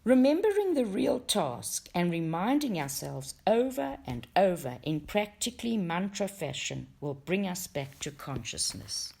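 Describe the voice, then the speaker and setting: A woman narrates a book aloud in a low voice. Her intonation swings widely, and she places deliberate, expressive emphasis on key words as she reads. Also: vocal fry, slightly rough. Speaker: audiobook reader for a study group.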